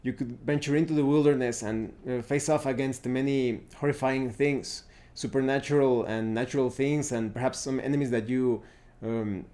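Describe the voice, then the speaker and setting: A man presenting an unscripted game review, explaining things deliberately and often pausing mid-sentence. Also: mid-range voice, steady tone, warm tone. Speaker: tabletop RPG reviewer, presenter.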